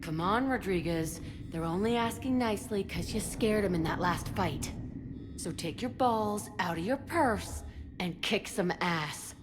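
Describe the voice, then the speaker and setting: An actress playing a tough, foul-mouthed, fiercely protective young combat instructor in a video game, brash and sardonic. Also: female voice, mid-range voice, animated, measured pace, over music, close to an everyday voice. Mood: combative. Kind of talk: video game dialogue acting.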